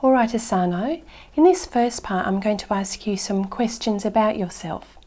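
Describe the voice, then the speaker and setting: A woman's voice: medium pitch, moderate pace, a little mellow. A woman playing an exam interviewer, asking questions in a polite, formal way. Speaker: examiner.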